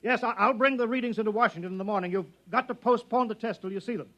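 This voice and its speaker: A weary man in charge, speaks in clipped, urgent phrases. His voice sits a little high for a man. The style is that of 1950s science fiction radio drama. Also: fast talker, bright, forceful tone, lo-fi sound. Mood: urgent, insistent. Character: Hank, weary commanding man running a test project. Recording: 1950s radio broadcast recording.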